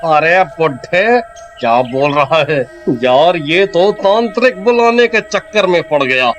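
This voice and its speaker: Guy doing argumentative superstitious villager in a cartoon, high voice, kinda slow, music under it. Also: expressive.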